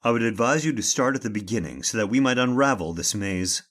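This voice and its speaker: An actor playing a bold, determined investigator in an old-time radio drama, speaking with formal authority. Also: male voice, mid-range voice, lively intonation, fast, fairly bright tone. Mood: stern. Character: bold, determined male investigator, commanding and inquisitive.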